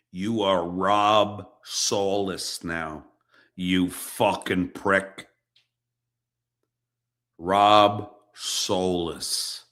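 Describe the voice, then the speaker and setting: A man hosting an online show delivers an angry, profane rant at a rival, confrontational and emphatic, with dramatic pauses. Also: fairly low pitch, fairly bright tone. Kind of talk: angry personal rant addressed to an absent rival.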